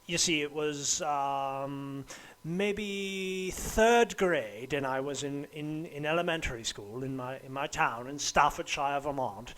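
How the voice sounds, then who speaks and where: Slow, halting man with affected English accent. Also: on the high side, fairly bright tone. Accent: affected, unconvincing English. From United States. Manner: pompous, halting, hesitant with stammered repeats. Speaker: podcast host. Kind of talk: comedic podcast monologue.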